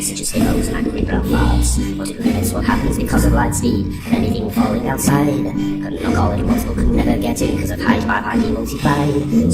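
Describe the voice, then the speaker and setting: A silly subatomic particle voiced by a woman sings a mock-educational novelty number. The voice is very low and creaky, echoing under loud music. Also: heavily acted. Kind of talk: comic novelty singing. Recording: echoey, loud music over the voice.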